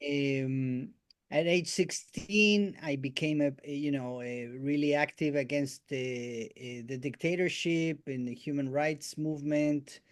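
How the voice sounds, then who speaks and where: Man, middle-aged, from Argentina, a bit high-pitched, halting speech, video call. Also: steady tone, clean tone. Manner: halting, many restarts and "eh" fillers. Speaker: guest musician and storyteller. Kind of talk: casual conversation, life story.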